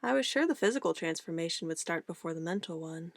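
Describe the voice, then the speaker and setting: A woman's voice: fairly low pitch, lively intonation, quick pace, creaky, no echo, studio quality. A woman playing an obsessive, possessive witch in an ASMR roleplay, sweetly menacing and cooing in an intimate, theatrical way. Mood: condescending, pleased. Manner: intimate, cooing, theatrical, shifting between soft whispers and firm commands.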